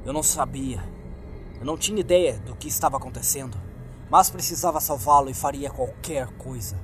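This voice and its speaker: A man's voice: mid-range voice, brisk. Brazilian man narrating horror over music. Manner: suspenseful, acts out the lines. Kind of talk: dramatic scripted narration of a horror story.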